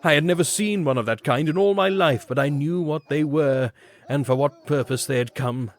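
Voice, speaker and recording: A man's voice: fairly high pitch, normal speed. A man giving a grave, theatrical reading of a literary story as an audiobook narrator. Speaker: audiobook reader. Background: background music.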